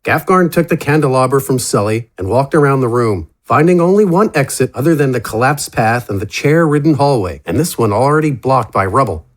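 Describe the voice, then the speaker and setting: A man's voice: mid-range voice, animated, fairly quick, hardly any pauses. A man reading scripted fantasy narration in a dramatic storytelling style.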